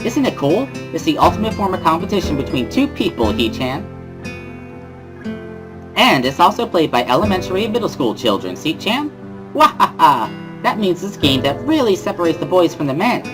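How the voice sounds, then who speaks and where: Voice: medium pitch, sing-song, normal speed, over music, voice pushed up, close to an everyday voice. A reader voicing a bubbly, giggly teenage schoolgirl with a cheery, teasing sing-song delivery in a visual novel dramatic reading. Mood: playful, pushy.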